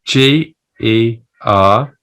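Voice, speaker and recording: A woman from England, a teacher explaining things in a relaxed, casual chat and laughing easily. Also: a bass voice, drawn-out words, smooth. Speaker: English teacher, co-host. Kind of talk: casual conversational Q&A.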